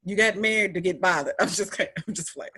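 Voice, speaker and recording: A young woman with a deep voice hosting a podcast, chatting in an animated, emphatic way with playful repetition. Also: fast talker, breathy edge. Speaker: podcast host. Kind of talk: casual chat.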